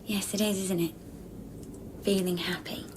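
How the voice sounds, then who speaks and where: An actress in a radio drama playing a forgetful, clinging apparition of a man's lover, hushed and childlike, asking short, searching questions. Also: medium pitch, little pitch movement, unhurried, a little hiss or hum, lightly acted, low-quality recording. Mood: dreamy, content. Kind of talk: intimate radio drama acting.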